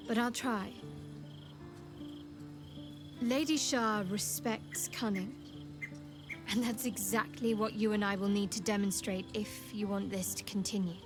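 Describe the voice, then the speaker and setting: A woman playing a young cleric of a dark goddess in a video game, devout and guarded, with a sly, teasing, measured way of talking. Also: mid-range voice, natural voice. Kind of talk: video game character dialogue.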